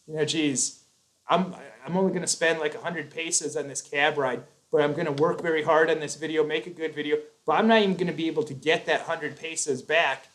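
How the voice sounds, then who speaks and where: A man's voice: a little high, steady tone, fairly quick, fairly bright tone, rough recording. A man hosting a live chat, talking earnestly and at length, with frequent restarts. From United States. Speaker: YouTube host.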